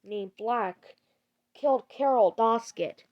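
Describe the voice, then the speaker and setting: A woman's voice: a little high, slow pace, dull audio. A woman delivering a scripted emergency broadcast announcement as an alert announcer.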